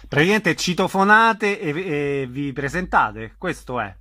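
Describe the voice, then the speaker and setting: Bright-voiced male radio interviewer. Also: a little high, expressive, a little slow. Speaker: radio host interviewing guests.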